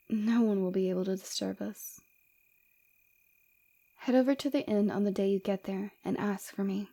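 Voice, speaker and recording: A woman playing a flirtatious, forward rebel villainess with a secretly caring side, in a soft, teasing, intimate ASMR roleplay. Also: medium pitch, close to an everyday voice. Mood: confiding, teasing.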